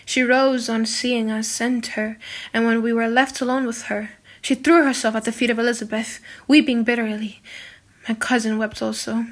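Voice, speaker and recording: A woman narrating a classic novel as a dramatic audiobook, in an expressive, solemn storytelling manner. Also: a little high, moderate pace.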